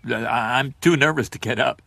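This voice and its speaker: A man playing a pompous, self-pitying middle-aged school principal in an animated comedy, stiff and earnest. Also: mid-range voice, brisk, creaky, slightly rough, no echo, heavily acted. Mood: anxious.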